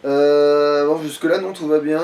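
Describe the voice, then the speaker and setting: High-voiced man gaming, crude and casual. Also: fairly even intonation, measured pace, a little mellow, far from the mic. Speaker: let's play gamer. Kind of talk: casual gameplay chat, crude slang.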